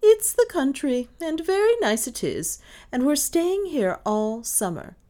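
A woman voicing a brisk, no-nonsense older mistress of the house, crisp, decisive and ironic, in an audiobook. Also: deep voice, very animated, full character voice. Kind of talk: audiobook character dialogue.

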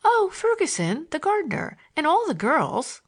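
Woman voicing a teenage schoolgirl, raised pitch, swooping intonation, cheeky, girlish, teasing, storybook dialogue. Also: huge pitch swings, moderate pace. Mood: romantic, teasing. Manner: bright, teasing, girlish chatter. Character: playful, pert teenage schoolgirl, cheeky and romantic.

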